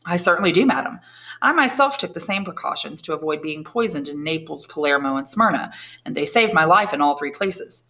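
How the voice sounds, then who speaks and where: Woman reading a suave nobleman: calm, knowing, courteous, lower-pitched storybook voice. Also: heavily acted. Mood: smooth, knowing. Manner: measured, courteous, lecturing.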